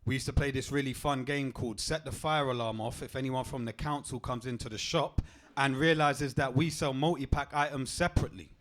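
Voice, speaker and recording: A young man performs stand-up on a club stage. His delivery is deadpan and punchy, landing each line cleanly at a middling pitch. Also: brisk, few pauses. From England. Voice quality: deep voice. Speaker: stand-up comedian. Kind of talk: stand-up comedy set.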